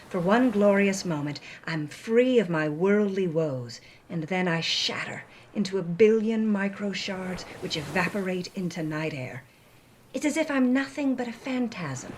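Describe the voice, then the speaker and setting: A woman's voice: mid-range voice, lively intonation, normal speed, a little hiss or hum. A woman co-host giving a dramatic, scripted reading and narration. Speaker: co-host and reader.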